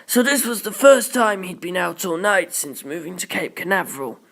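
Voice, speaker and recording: An amateur audiobook narrator with a medium-pitched voice reads fiction in the first person. The delivery is expressive and made for storytelling. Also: moderate pace, vocal fry.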